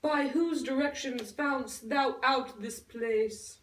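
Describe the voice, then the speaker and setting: Slow, high woman as lovesick girl Juliet. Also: a bit bright. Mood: earnest. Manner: slow, theatrical declaiming with big swells. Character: Juliet puppet, lovesick teenage girl, yearning and dramatic. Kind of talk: Shakespeare recited for a school puppet show. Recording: echoey room, far from the mic.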